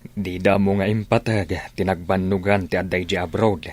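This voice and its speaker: A man's voice: low-pitched, fairly even intonation, normal speed, darkish tone. An actor playing a gossiping village man who talks in a moralising way in a radio drama. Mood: judging. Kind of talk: regional radio drama acting.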